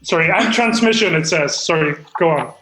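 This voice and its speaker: A man in a comedic table read, halting and self-important, defensively presenting his screenplay and reading stage directions. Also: fairly high pitch, sharp tone. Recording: roomy echo, music behind.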